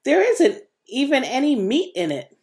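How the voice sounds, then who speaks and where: A woman's voice: sits high, very animated, unhurried, a little mellow, pitched above the performer's own voice, exaggerated voice. A woman voicing a gloomy grown man from a children's storybook, somber and given to mumbling. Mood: befuddled. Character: gloomy, sighing grown man, slaughterhouse office boss.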